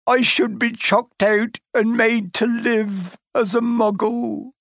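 A man voicing a huge, bearded, soft-hearted half-giant with rustic, colloquial speech in an audiobook. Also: mid-range voice, lively intonation, slow pace, breathy edge, no room sound, clean studio sound, heavily acted. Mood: sobbing remorse.

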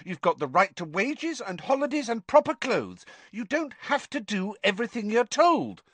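A man voicing a clever, earnest teenage girl who lectures briskly. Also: a little high, sing-song, a bit bright, no echo, voice pushed up. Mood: exasperated.

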